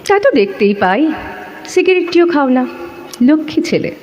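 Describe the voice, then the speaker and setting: A woman's voice: high voice, very animated, moderate pace, a little mellow, in a large hall, dull audio. An actress playing a bold, teasing married woman, playful and forward, in an audio drama. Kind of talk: Bengali audio drama acting. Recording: slightly noisy recording.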